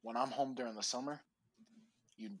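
Man, young adult, lisp, husky voice, casual podcast co-host, rambling, profane. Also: mid-range voice, fairly even intonation, a little fast, no room sound.

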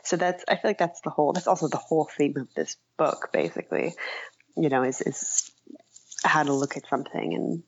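Female interview guest, writer. Deep-set, gravelly fry, hesitant and modest conversational delivery, dull close recording. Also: no room sound. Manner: tentative, self-deprecating, searching for words.

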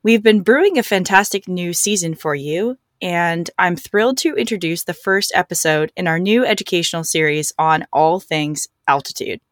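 A woman's voice: fairly low pitch, lively intonation, normal speed, hardly any pauses, no room sound, studio quality. A woman hosting a podcast, giving a prepared introduction and putting questions to guests. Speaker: podcast host.

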